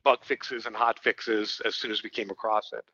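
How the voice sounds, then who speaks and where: A man's voice: lowish voice, flat delivery, moderate pace, pushed and bright, no echo, lo-fi sound. A man from Brooklyn with a Brooklyn accent, a company co-founder explaining his work in a casual interview, full of fillers and restarts. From Brooklyn, New York. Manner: rambling, lots of fillers and restarts.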